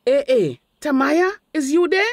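High-pitched nosy older Caribbean auntie. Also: female voice, big pitch swings, unhurried, no echo, clean studio sound, full character voice. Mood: indignant. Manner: scolding, colloquial Creole. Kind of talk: radio drama dialogue.

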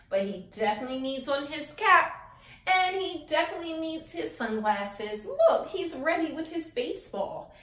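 An American woman in her thirties speaking as a preschool teacher, animated and encouraging, asking young children simple questions and pausing for them. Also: high voice. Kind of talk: children's circle time lesson, addressing young viewers directly. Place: small classroom-style room, indoors. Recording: roomy indoor echo.

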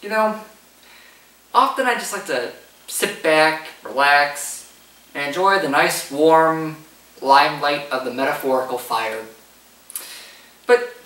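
Young guy hosting a sketch, mock fancy n musing, bright voice, echoey room, mic far off. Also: a little high, lively intonation, a little slow, long pauses. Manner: pompous, musing. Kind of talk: mock-elegant comedic introduction. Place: living room. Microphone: camera across the room. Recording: echoey living room, distant camera mic.

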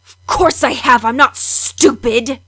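Woman playing a husky, irritable beast mistress. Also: a little high, fairly bright tone, dry recording. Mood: angry, impatient. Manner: irritable, drawn-out delivery. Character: fierce, impatient cursed beast mistress of castle.

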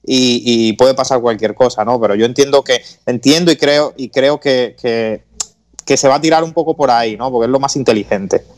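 A man's voice: medium pitch, moderate pace. A man on a sports talk radio show, weighing in with frequent repeats and restarts. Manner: hesitant, repeats words, tags with "¿no?".

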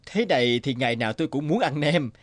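A man's voice: mid-range voice, animated, fast talker, dry recording, natural voice. Man as a swooping, leering village suitor. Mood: lovestruck leering. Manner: exaggerated, swooning. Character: smitten, leering, blustering village male customer.

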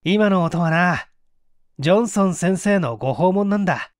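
A man's voice: sits high, moderate pace, dry recording, studio quality, close to an everyday voice. A man voicing a composed, wry male detective in an old mystery story. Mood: wry composure.

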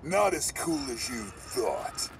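Brash, animated fighter. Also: male voice, medium pitch, slow pace, vocal fry, a little hiss or hum, full character voice. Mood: cocky. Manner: casual, sardonic. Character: cocky, brash masked American street fighter. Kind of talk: video game voice acting.

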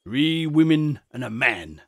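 A man's voice: a little high, very animated, unhurried. Man voicing a grave old English country shepherd. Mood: grave warning. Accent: rustic English country dialect. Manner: folksy, grave, emphatic.

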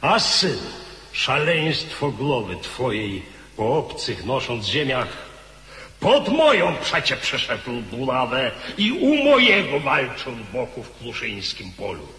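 A stern, solemn military commander in a radio play. His declamation is slow, with sweeping swings of pitch. The voice rings out in a long, echoing reverb. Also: wildly swooping pitch, bright, forceful tone, exaggerated voice. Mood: solemn, pleading. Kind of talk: theatrical radio drama acting. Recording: echoey hall with long reverb.

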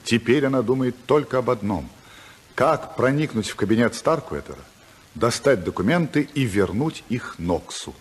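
An actor narrating a 1950s radio drama in measured, expressive storytelling style. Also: male voice, medium pitch, breathy edge.

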